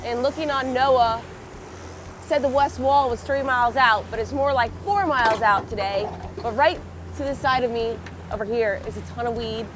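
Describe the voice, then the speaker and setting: A woman's voice: on the high side, moderate pace, background noise. Nasal woman fishing vlogger on a boat. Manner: upbeat, excited when a fish hits. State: slightly nasal from a head cold. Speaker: fishing channel host. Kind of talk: casual vlog narration on a boat. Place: outdoors on a fishing boat offshore.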